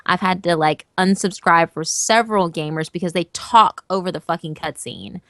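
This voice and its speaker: A woman who narrates horror stories, chatting as an interview guest in an animated, emphatic way and swearing casually. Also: lowish voice, moderate pace, no room sound.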